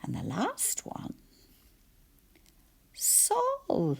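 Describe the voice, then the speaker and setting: Slow, whispery woman teaching spelling. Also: medium pitch, sing-song, gravelly, breathy edge. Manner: slow, soft-spoken, sounds out each letter.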